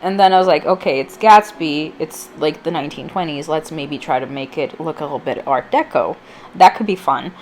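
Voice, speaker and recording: A woman's voice: sits low, a little fast, darkish tone, slight background noise. Chatty woman vlogger with allergy-affected voice. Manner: informal, rambling, self-deprecating, filler-heavy. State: slightly off voice from allergies. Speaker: vlogger, channel host. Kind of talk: casual chatty voice-over for a craft video.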